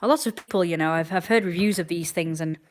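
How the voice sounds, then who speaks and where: Twenties woman rambling on her live stream, lowish voice, answering chat comments. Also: quick pace. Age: 20s.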